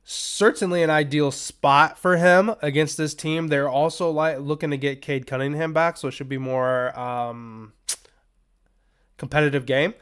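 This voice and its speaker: A man hosting a sports betting podcast in a loose, rambling, casual way, his voice a little raspy and strained as he is under the weather. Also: on the high side, steady tone, unhurried, fairly bright tone. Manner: rambling, loose, filler-heavy asides. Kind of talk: casual unscripted betting picks talk.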